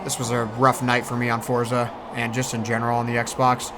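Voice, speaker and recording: A man gives an off-the-cuff voice-over for a gaming video. His voice sits at an average pitch and he rambles along in a laid-back, hesitant way. Very loud car sounds from a racing game run behind him. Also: monotone, a little fast, background noise. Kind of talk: casual rambling voice-over commentary.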